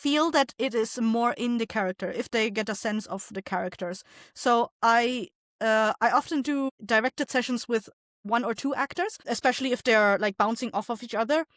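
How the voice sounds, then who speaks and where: A woman speaking as an interview guest, explaining things informally and a little haltingly as she thinks. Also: on the high side, a bit bright, no room sound, studio quality. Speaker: podcast guest, audio drama writer, director and voice actor. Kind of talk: casual interview conversation.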